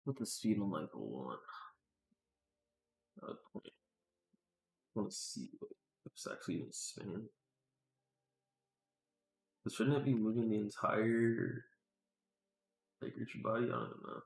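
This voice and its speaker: A man with a low, gravelly voice talks to himself as he works on a livestream. His delivery is casual and muttered, full of slang and swearing. The room adds a clear echo to his voice. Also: expressive, unhurried, long pauses, a little mellow. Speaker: game developer live-streaming work. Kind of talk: casual thinking-aloud livestream commentary while working. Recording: roomy echo.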